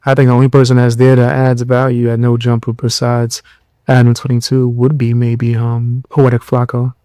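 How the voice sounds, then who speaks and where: Young man, podcast host, low voice, blunt and mocking, dry close sound. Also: completely flat delivery, moderate pace, warm tone, clean tone, no echo. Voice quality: lower voice. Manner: mocking, blunt, loose slang. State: tired. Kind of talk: casual commentary.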